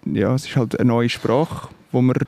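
Swiss german guy musing slowly w lots of ums, dead dry room. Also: mid-range voice, creaky, darkish tone, no room sound. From Switzerland. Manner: thoughtful, hesitant, many fillers and restarts. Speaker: musician guest. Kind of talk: reflective interview talk.

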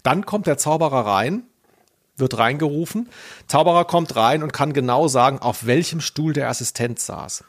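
Male podcast host, medium pitch, informal talk, rambling self-deprecating storyteller. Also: lively intonation, normal speed. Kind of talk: casual podcast chat, personal anecdote.

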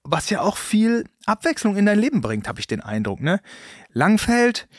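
Man, slight accent, chatty host, lively pitch, dry close sound. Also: moderate pace, dry recording. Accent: slight non-native accent. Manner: chatty, jokey, lively, frequent fillers and trailing questions. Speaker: podcast host. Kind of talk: casual interview chat.

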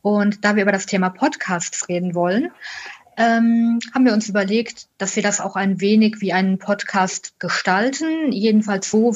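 Female interviewer, mid-pitched, conversational podcast hosting, muffled lo-fi sound. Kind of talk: relaxed conversational podcast introduction. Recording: dull, band-limited sound.

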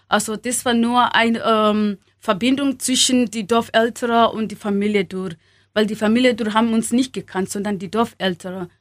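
A young woman from Kenya speaking German as a second language, halting with many fillers and small grammar slips, as an interview guest. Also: on the high side, dry recording, studio quality. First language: not German. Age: young adult.

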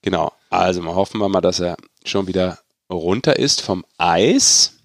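A man's voice: low-pitched, moderate pace, no echo, clean studio sound. A man hosting a sports podcast in an informal, bantering, chatty way. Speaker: podcast host. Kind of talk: casual sports podcast chat.

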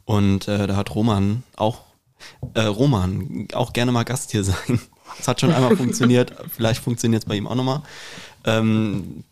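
Low-voiced man, rambling casually as co-host. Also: steady tone, moderate pace, darkish tone. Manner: rambling, wry. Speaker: podcast co-host. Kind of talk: casual chat.

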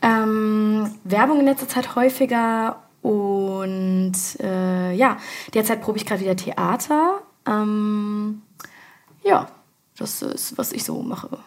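A woman's voice: medium pitch, takes it slowly. A woman, an actress guest on a podcast, introducing herself hesitantly with many fillers. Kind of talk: casual podcast chat.

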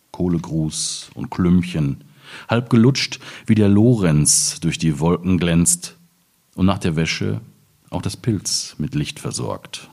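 A man from the Ruhr area in Germany reading his own poems in measured phrases. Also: very deep voice, a little mellow, husky. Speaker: poet reading own work.

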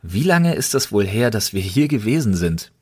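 A man with a fairly low voice co-hosts a casual podcast. He talks colloquially and emphatically, in an enthusiastic storytelling way. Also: very animated, normal speed, husky. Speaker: podcast co-host.

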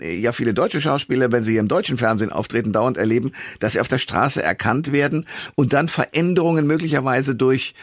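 A male radio host of average pitch interviews in a conversational style. His questions wander a little, and the sound is dry, with no room echo.

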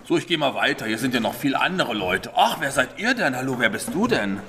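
A man's voice: medium pitch, sing-song, fairly quick. A man hosting an improvised audio play as head of a magic school, interviewing children and echoing their answers encouragingly. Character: cheerful head of a wizard school. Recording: echoey hall, faint background noise.

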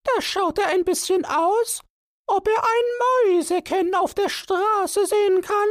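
A man's voice: extremely high pitch, a little slow, no echo, clean studio sound, voice pushed up. Cool, unmoved clever ant, voiced by a man. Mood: matter-of-fact. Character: clever cold-blooded female ant.

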